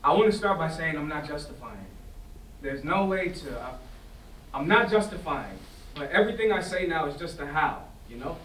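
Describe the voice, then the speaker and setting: A man's voice: a little high, expressive, a bit bright. Man playing defensive teenage son, roomy distant recording. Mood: earnest, defensive. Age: young adult. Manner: colloquial, halting, repeating phrases insistently. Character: troubled, defensive teenage son pleading with his mother. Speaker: drama student acting in a staged reading. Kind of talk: staged play reading, naturalistic acting. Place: indoor staged reading before festival, distant mic. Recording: roomy, distant stage pickup, faint noise.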